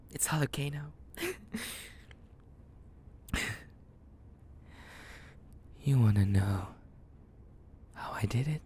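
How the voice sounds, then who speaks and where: A man's voice: medium pitch, gravelly, a little mellow, slight background noise. A man playing a psychotic, possessive young kidnapper in an intimate audio roleplay, cooing menacingly in half whispers with creepy giggles. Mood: gloating, unhinged.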